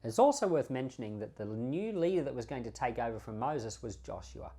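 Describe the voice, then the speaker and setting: A man's voice: mid-range voice, expressive, fairly quick, warm tone, slightly rough. Man giving conversational Bible teaching. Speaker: pastor and podcast host. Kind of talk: informal Bible teaching commentary.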